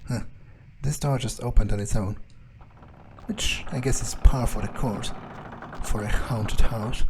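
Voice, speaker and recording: A man improvising in-character game commentary as a jaded vampire, thinking aloud in a deadpan, sardonic, musing way. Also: medium pitch, measured pace, background noise. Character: jaded, unimpressed male vampire muttering his thoughts. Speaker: let's player roleplaying in character.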